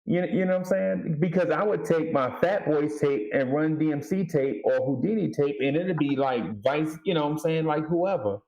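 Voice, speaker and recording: A man's voice: a little high, normal speed, few pauses, a little mellow, dull audio. A middle-aged American man chatting casually with friends as a panelist on a music show. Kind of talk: casual chat among friends.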